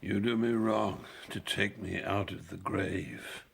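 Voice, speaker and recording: An actor playing a frail, confused old king in his eighties in a classical audio drama, gravelly and halting. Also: deep voice, slight background noise, full character voice. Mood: anguished. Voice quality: gravelly, creaky. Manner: halting, bewildered.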